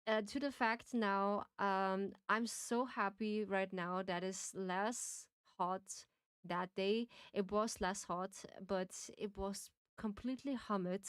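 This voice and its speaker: A woman's voice: medium pitch, smooth, no room sound. A young woman from Austria with an Austrian accent, chatting casually and laughing as she streams a game. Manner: chatty, rambling, laughs often, many restarts. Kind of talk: casual chat while playing and reading a game.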